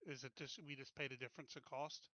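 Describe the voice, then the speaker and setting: A man's voice: medium pitch, level tone, fast, bright, forceful tone, no room sound. A man playing a tabletop game, chatting casually with direct, probing questions over a muffled voice-chat connection.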